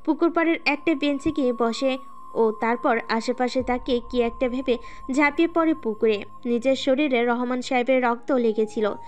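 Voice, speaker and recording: A woman's voice: fairly high pitch, normal speed, a little hiss or hum. A woman reading scripted story narration as the narrator of an audio tale.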